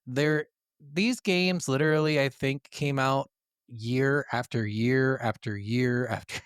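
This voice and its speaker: A man co-hosting a podcast in casual banter about video games, blunt, teasing and quick to swear. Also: mid-range voice, steady tone, clear, resonant tone, no echo, clean studio sound. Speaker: podcast co-host.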